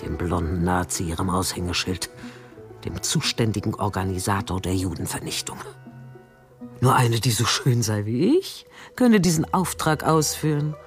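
A woman's voice: rumbling low, animated, moderate pace, faint music behind. An older actress playing a weary, sardonic former spy, narrating her memories dryly in a radio play. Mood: bitter reflection. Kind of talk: radio play narration, first-person memoir.

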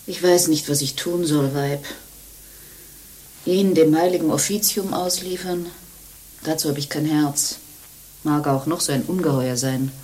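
An actress in a German radio play playing a proud, scornful young nun who speaks emphatically. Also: female voice, low-pitched, a little slow, pauses often, warm tone. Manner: emphatic, haughty, declamatory.

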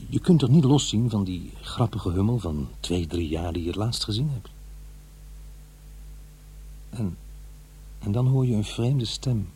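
Hesitant, regretful middle-aged father, low-voiced. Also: animated, a little slow, pauses often, mellow, breathy edge, heavily acted. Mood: confessional, rueful. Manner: hesitant, searching for words. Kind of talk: Dutch radio drama acting. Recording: noisy, humming radio play recording.

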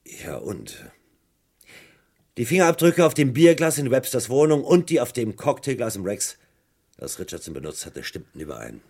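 An actor playing a dogged, insistent British police detective in a German radio crime drama, theatrical and emphatic, with a gravelly voice. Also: male voice, mid-range voice, huge pitch swings, normal speed, a little mellow, exaggerated voice. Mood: grim triumph.

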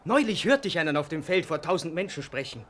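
A man's voice: a little high, sing-song, fast, fairly bright tone, a little hiss or hum. An actor playing a hearty, outspoken townsman, emphatic and blunt, in a 1940s German radio play. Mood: enthusiastic. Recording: vintage 1949 radio drama recording.

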